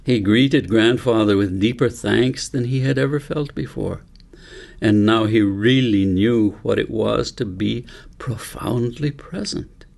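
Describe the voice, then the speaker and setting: A man narrating a story for an audiobook in measured, deliberate short phrases. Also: on the low side, creaky, a little mellow. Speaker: author reading own book aloud.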